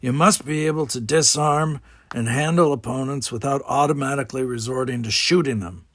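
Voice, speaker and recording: A man voicing a confident, burly older male combat instructor, lecturing in a measured, authoritative way. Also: mid-range voice, a bit bright, no room sound, clean studio sound, lightly acted. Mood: instructive, authoritative.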